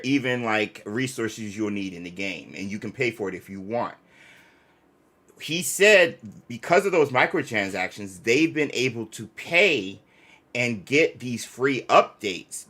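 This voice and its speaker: A man hosting a gaming show, talking casually on a livestream in a halting, rambling way with emphatic points. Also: fairly low pitch, bright, forceful tone. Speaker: host of a gaming reaction show.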